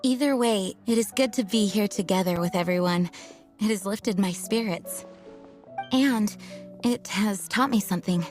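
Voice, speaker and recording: A woman voicing a dutiful young knight commander who speaks in a formal, measured, sincere way. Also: mid-range voice, a little mellow, faint music behind, close to an everyday voice. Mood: earnest. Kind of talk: video game voice acting.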